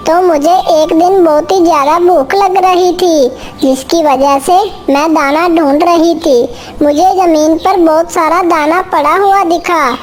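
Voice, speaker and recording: A woman plays a tiny female cartoon bird with an extremely high voice. She speaks slowly and simply, earnest and quick to take offence, as in a children's moral story. Faint music plays underneath. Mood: earnest recounting. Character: small female bird, indignant but peace-loving storyteller.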